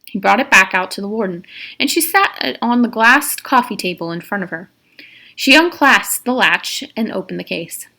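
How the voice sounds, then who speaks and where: A woman's voice: medium pitch, lively intonation, moderate pace, vocal fry, sharp tone. A woman narrating a novel as a read-aloud, reading expressively with an occasional stumble. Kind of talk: storybook read-aloud with brief asides.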